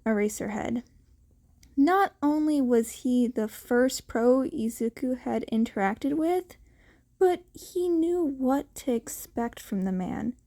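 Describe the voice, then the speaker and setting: A woman narrates fiction in a fairly high, mellow voice. Her reading is unhurried and a little halting, like an amateur audiobook. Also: lively intonation. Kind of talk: scripted story narration.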